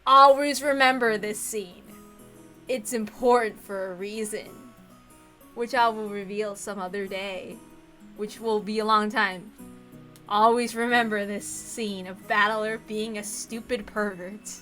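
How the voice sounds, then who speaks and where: A woman's voice: medium pitch, fairly even intonation, unhurried, a bit bright, soft background music. A woman reading a visual novel aloud expressively, with asides to her audience.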